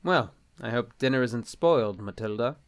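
A man's voice: mid-range voice, lively intonation, moderate pace, smooth, natural voice. A man playing a stiff, anxious bishop in an audio drama, formal and hesitant in his speech. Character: stiff, exasperated, anxious male bishop and husband. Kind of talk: film-adaptation audio drama acting.

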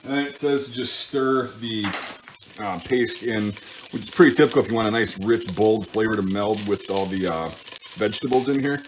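Male home cook, lowish voice, informal running commentary over audible sizzle and kitchen noise. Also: normal speed. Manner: informal, thinking aloud, self-correcting. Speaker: home cook hosting a cooking show. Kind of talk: casual cooking commentary while working. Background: sizzling pan and stirring sounds.

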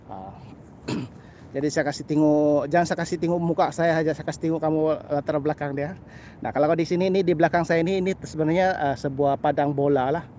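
A middle-aged man from Sabah with a Sabahan Malay accent, chatting casually to camera in a halting, repetitive way with little filler tags. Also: fairly high pitch, dark tone, lo-fi sound. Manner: halting, repeats phrases, frequent "ah" and "lah" tags. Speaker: birding vlogger. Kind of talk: casual vlog chat to camera. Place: outdoors on a grassy path by a canal. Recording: outdoor background noise.

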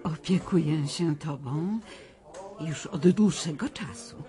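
A woman's voice: low voice, takes it slowly, warm tone, faint music behind. An actress playing a scheming, patronising society lady with a theatrical, condescending manner, in a radio drama. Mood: patronising, menacing.

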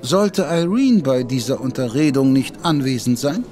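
An actor playing a proud, protective detective who speaks emphatically and with indignation. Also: male voice, medium pitch, lively intonation, normal speed, dark tone, faint music behind, close to an everyday voice. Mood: indignant, lively. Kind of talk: German audio drama acting. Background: faint background score.

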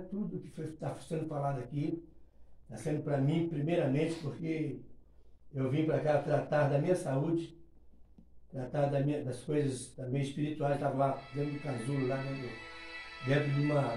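A middle-aged Brazilian man giving an informal testimony at a home worship gathering in halting, repetitive phrases, recorded by a phone across a small room. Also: medium pitch, mellow, reverberant, far from the mic, low-quality recording. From Rio de Janeiro, Brazil. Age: middle-aged, around 50s. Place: small living room, indoors. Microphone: phone held a few metres away.

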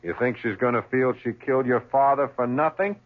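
An actor playing a shrewd police lieutenant in a 1970s radio drama, with a probing, insinuating manner. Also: male voice, medium pitch, brisk, narrow, tinny audio, natural voice. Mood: needling.

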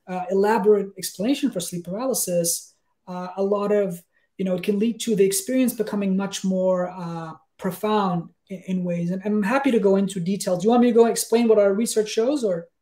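A man, explaining things conversationally as an expert guest in an emphatic, halting way, often checking that he is followed. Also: high voice, dark tone. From Denmark. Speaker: guest expert, sleep researcher. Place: small room with bookshelves, on a video call.

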